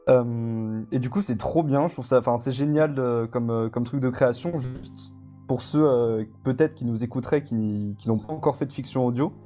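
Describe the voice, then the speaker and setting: A man gives a casual live interview as a guest. His voice is mellow and mid-pitched, his delivery hesitant and slightly rambling. Light music plays underneath. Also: moderate pace. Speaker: guest, audio fiction creator.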